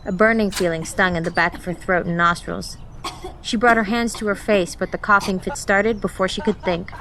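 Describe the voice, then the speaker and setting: A woman reading scripted audiobook narration in an expressive storytelling manner. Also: medium pitch, moderate pace, noisy background. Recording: music playing behind the voice. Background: music.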